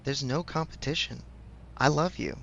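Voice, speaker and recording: Supportive male best friend played by a man, conversational audio roleplay, frank and gently teasing, creaky mid-pitched voice, faintly noisy recording. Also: moderate pace, lo-fi sound. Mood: warm, affectionate.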